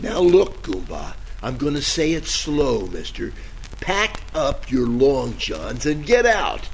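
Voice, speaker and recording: A man's voice: medium pitch, big pitch swings, slow pace, vocal fry, a little hiss or hum, heavily acted. A man playing a hard-luck, bumbling tough-guy detective with a wry, hard-boiled delivery in an old-time radio detective style. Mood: menacing. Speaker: narrator and lead character. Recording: music under the voice.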